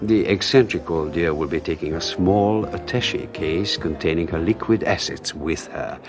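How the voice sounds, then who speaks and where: A man's voice: sits low, music in the background, close to an everyday voice. A man playing a silky, scheming schemer. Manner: silky, mocking, drawn-out delivery. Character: scheming suave man plotting with his lover. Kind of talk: 1980s radio drama acting.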